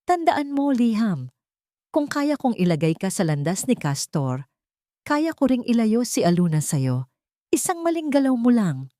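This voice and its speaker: A woman's voice: fairly high pitch, very animated, measured pace, mellow, dry recording, voice pushed up. Female narrator playing a scheming villainess, Filipino accent, venomous, taunting, controlling, calculated menace, dry storybook recording. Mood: threatening. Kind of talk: dramatic audio storybook.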